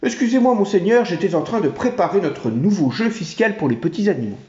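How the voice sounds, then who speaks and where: Male performer as a fawning monkey general, chattery, high and bouncy, roomy lo-fi sound. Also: animated, brisk, dark tone. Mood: eager. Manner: obsequious, chattering. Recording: roomy, dull home recording.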